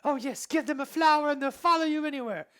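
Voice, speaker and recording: A man voicing a sharp-tongued young woman in a high put-on falsetto. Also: quick pace.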